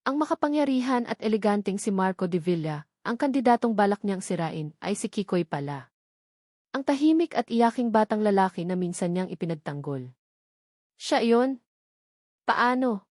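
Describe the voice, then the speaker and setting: A woman reading a scripted love story as narrator in a dramatic, measured manner. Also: mid-range voice, a little mellow, no echo, clean studio sound.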